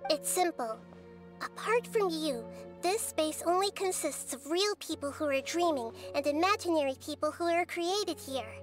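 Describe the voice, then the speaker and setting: A woman voicing a small, wise, childlike goddess with a measured, knowing manner in an anime game. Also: very high-pitched, lively intonation, faint music behind. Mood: analytical. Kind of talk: anime video game voice acting.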